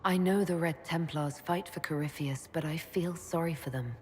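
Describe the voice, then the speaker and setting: An actress playing a gentle, steadfast woman leader in love in a fantasy game, soft, measured and reassuring. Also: low voice, a little hiss or hum, lightly acted. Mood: compassionate, firm. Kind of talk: dramatic video game voice acting. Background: orchestral game music.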